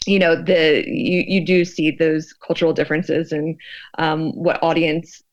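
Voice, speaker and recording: A woman's voice: deep voice, level tone, measured pace, no echo. Slightly dull, dry audio of an American woman. She speaks as a researcher being interviewed, thoughtful and conversational. She often halts and searches for the next word. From United States. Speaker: sociologist and researcher, podcast guest.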